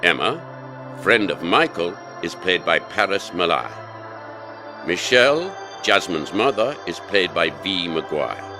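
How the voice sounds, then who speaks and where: A man's voice: low voice, sing-song, measured pace, over music. A man reading cast credits as an announcer, in a scripted, presentational style.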